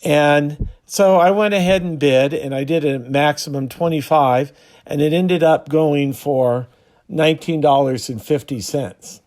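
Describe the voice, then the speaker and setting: A man hosting a hobby video, explaining casually and unscripted, with halting pauses mid-sentence. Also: fairly high pitch, darkish tone.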